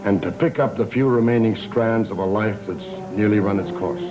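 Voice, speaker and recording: An actor playing a weary, fatalistic old patriarch in a gothic soap opera, speaking in grave, ominous, theatrical phrases. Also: male voice, on the low side, moderate pace, close to an everyday voice, low-quality recording. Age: older. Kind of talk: 1960s-70s gothic soap opera acting. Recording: old TV soundtrack with background music.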